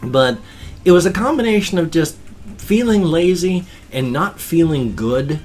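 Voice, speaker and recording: A stuffed-up, slightly wheezy man in his fifties rambles through a home vlog. He talks in a loose, animated way, gesturing with both hands in a small cluttered room. Faint music sits underneath. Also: on the high side, measured pace. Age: 50s. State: congested and wheezy. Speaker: vlogger. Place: small home room full of toys and collectibles. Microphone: webcam framing at desk.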